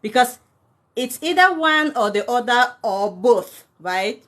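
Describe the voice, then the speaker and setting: A woman's voice: a little high, lively intonation, slow pace. Chatty woman from Cameroon. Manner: warm, chatty, thanks viewers by name, many fillers. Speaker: personal finance content creator. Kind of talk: casual livestream talk to viewers.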